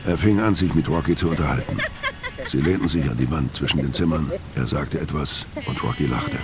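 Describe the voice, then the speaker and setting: Crime radio play narrator: brooding, hard-drinking killer on the run, low-pitched, terse and sober, music underneath. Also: male voice, fairly quick. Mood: brooding, matter-of-fact.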